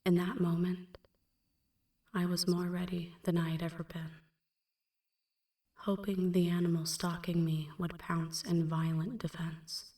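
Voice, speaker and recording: A woman playing a melancholy female vampire in an ASMR roleplay, recounting a dark past in a hushed, breathy, measured half-whisper. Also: fairly low pitch, level tone, roomy sound, far from the mic, natural voice. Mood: somber, haunted. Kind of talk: ASMR roleplay, slow dramatic first-person narration.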